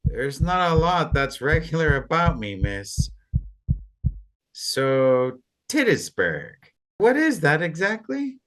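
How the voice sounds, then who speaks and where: A woman's voice: low-pitched, sing-song, slow, full character voice, rough recording. A woman playing a flirty, laid-back, fun-loving man in an audio play, with a playful delivery. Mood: flirtatious.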